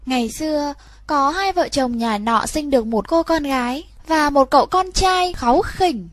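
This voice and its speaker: High-pitched woman reading a fairy tale. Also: expressive, moderate pace, dry recording. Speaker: storyteller. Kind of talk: scripted children's fairy tale narration.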